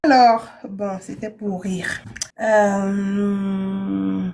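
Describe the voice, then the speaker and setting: A woman's voice: medium pitch, flat delivery, very slow, creaky, noisy background. A woman from Brooklyn hosting a casual live call-in stream, chatty and teasing as she gives advice. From USA (Brooklyn, New York). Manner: chatty, teasing, laughs often. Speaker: live stream host giving visa advice.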